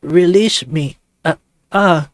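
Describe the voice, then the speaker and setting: A man's voice: a little high, slow pace, no echo, studio quality, pitched above the performer's own voice. A man voicing a heroic young male tiger warrior who speaks in a formal, lofty way. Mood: distressed.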